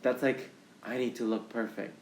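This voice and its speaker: A man's voice: mid-range voice, fairly even intonation, slight background noise. Young guy on a podcast, stops and restarts a lot, roomy echo. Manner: halting, restarts often, many "like"s. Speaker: podcast co-host. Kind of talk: casual podcast chat. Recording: echoey room.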